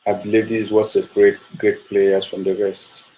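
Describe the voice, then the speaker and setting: A man from Nigeria, a football coach giving a measured talk on his coaching philosophy with frequent fillers. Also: on the low side, completely flat delivery, a little mellow, low-quality recording.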